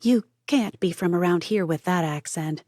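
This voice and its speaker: A woman voicing a chatty young American woman in an audiobook, lively and quick to tease. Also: lowish voice, no room sound, clean studio sound, natural voice. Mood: teasing. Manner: lively, teasing, quick to banter. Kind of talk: audiobook character voice.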